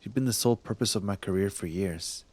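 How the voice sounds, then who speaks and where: A man playing a smug cop secretly smitten with a thief in an intimate ASMR roleplay, teasing and halting, sometimes dropping to a breathy murmur. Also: fairly low pitch, a little mellow. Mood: probing, softening. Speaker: voice actor in audio roleplay. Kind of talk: ASMR roleplay acting, addressed to the listener.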